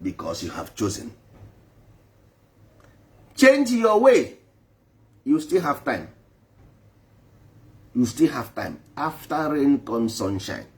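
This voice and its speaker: A man's voice: mid-range voice, wildly swooping pitch, slow, long pauses. A man preaching emphatically to a live online audience, repeating his points for force. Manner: emphatic, repetitive, switching between English and Igbo. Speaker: traditional spiritual speaker.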